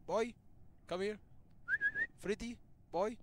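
A man's voice: high-pitched, slow pace, very noisy. A man trolling other players in a virtual reality game voice chat, bossy and teasing, talking through a headset mic. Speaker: player and channel host.

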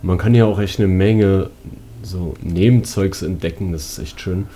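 Low, mellow male let's player. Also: normal speed, slightly rough. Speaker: gaming YouTuber, let's player. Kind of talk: casual let's play commentary.

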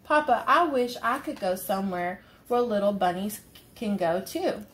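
A woman's voice: medium pitch, normal speed, close to an everyday voice, poor audio. A woman voicing an eager little boy bunny who adores his mama in a storybook. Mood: wistful.

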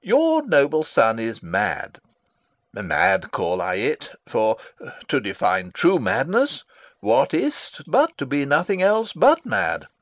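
Pompous old royal advisor, shakespeare style, slow n rambling, super swoopy pitch. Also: male voice, huge pitch swings, a bit bright, no room sound, exaggerated voice. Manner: rambling, self-satisfied, theatrical. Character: pompous, long-winded old male royal counsellor and father. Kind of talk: classical Shakespearean stage acting, dramatic reading.

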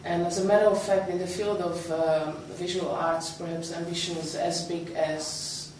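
A woman's voice: lowish voice, flat delivery, normal speed, a little mellow. Woman interviewer in an echoey room. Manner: hesitant, with frequent ums. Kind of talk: conversational interview questions. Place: meeting room, indoors. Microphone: far camera mic. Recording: echoey room, distant mic, background noise.

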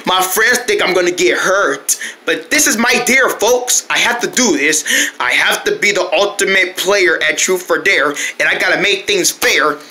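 A woman's voice: on the low side, lively intonation, moderate pace, bright, forceful tone. A woman voicing a stubborn, boastful young male daredevil in an amateur improvised skit, with an insistent way of talking. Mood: determined, boastful. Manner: insistent, bragging.

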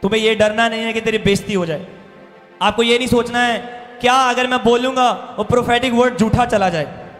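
High-pitched male preacher in echoing hall. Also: fairly even intonation, fairly bright tone. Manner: emphatic, repeats phrases, slows for quoted verses. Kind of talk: impassioned sermon mixing Hindi and English. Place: church hall stage. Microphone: handheld microphone. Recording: reverberant hall PA with music behind. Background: music.

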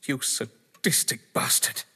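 An actor playing a frightened, indignant husband caught in a deadly game, speaking in an articulate, theatrical audio drama style. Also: male voice, takes it slowly, bright, forceful tone. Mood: tense, scornful. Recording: long reverb, background noise.

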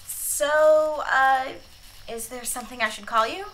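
A woman's voice: high voice, measured pace, a bit bright, slight background noise, rough recording. A woman playing a bold, chatty thirteen-year-old stowaway in a sci-fi radio drama, breezy and curious, often hesitating. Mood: eager, curious. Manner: breezy, talkative, hesitating with uhs. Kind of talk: science fiction radio drama acting.

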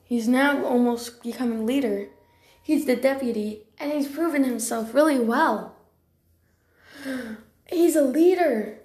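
A woman's voice: high-pitched, takes it slowly, pauses often, a little mellow. A woman voicing a friendly, welcoming warrior cat in amateur character lines, chatty and encouraging with a newcomer. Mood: proud. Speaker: amateur voice actor. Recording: roomy, echoey home recording.

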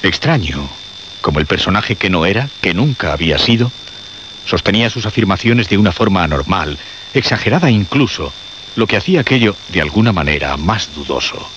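An actor narrating a radio suspense drama in a grave, measured, dramatic way. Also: male voice, low voice, expressive, background noise. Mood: grave, suspenseful. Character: grave male narrator of a horror tale. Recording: muffled radio drama recording.

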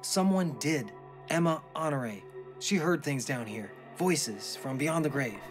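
A man's voice: fairly high pitch, expressive, a little slow. A man playing an earnest, driven marine biologist father, speaking persuasively and with passion in an audio drama. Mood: wondering. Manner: impassioned, persuasive. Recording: music behind the voice.

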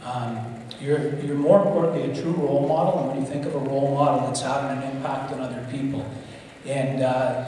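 A man's voice: medium pitch, warm tone, a little hiss or hum. A middle-aged man, an athletic director, reads a formal induction citation at a lectern on a theatre stage, stumbling and correcting himself now and then. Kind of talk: formal speech read at a lectern. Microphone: lectern mic on a stand. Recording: echoey hall, mic heard from a distance.

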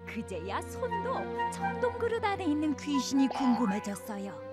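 A woman narrating a children's story in a bright, singsong storyteller's manner. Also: high voice, wildly swooping pitch, moderate pace. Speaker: storyteller host. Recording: music under the voice.

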